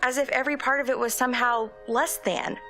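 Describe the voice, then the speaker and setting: A woman's voice: sits high, fairly even intonation, fairly quick, sharp tone, soft background music. An actress playing a wry, plucky woman in an audio drama, sardonic and questioning. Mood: uneasy.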